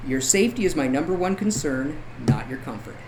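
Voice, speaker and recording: A man's voice: on the high side, animated, brisk. A man talking casually as an interview guest, telling informal stories and voicing the quotes in them. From Canada. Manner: informal anecdotes with acted-out quotes. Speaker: podcast guest, photographer. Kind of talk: casual chat. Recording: faint background noise.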